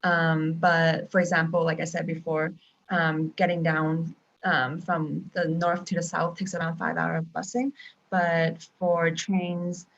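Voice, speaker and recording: A young woman talks on a live video call in a relaxed, halting way, with frequent ums. Her voice sits a little low for a woman. The audio is muffled and lo-fi, with a faint hum of the room behind her. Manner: casual, many ums and restarts. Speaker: traveling teacher and guest. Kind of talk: live-stream video chat. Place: home in Taiwan.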